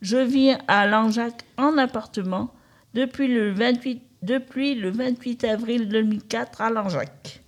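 Slow, halting woman reading aloud. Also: on the high side. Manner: halting, word-by-word delivery. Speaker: radio show participant. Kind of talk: reading prepared text aloud.

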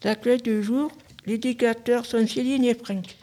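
A woman's voice: a little high, fairly even intonation, normal speed, dark tone. A woman in her fifties reading simple prepared statements aloud as a radio show participant.

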